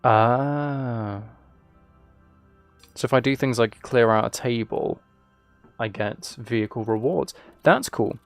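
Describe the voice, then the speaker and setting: A man chats casually through his live gameplay. His voice is mid-pitched with a gravelly fry, recorded very dry, with faint game music underneath. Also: takes it slowly, long pauses, no room sound. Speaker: game streamer. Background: video game music.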